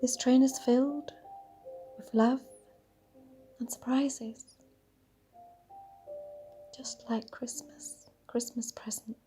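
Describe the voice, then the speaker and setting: A woman leading a guided meditation in a dreamy, soothing, half-whispered voice with drawn-out pauses. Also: a little high, steady tone. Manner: breathy, half-whispered delivery. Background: soft sound bath music.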